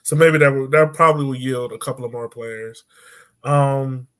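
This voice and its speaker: 30s guy hosting sports podcast on a video call, medium pitch voice, super informal, chatty questions. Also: steady tone, moderate pace. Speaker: podcast host. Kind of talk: casual sports chat. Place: indoor room at home, video call. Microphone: video call microphone.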